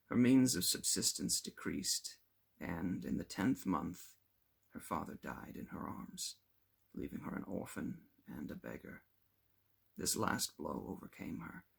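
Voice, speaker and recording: A man reading a classic novel aloud as scripted narration, in a measured, expressive way with a gravelly, husky voice. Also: low-pitched. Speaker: audiobook reader.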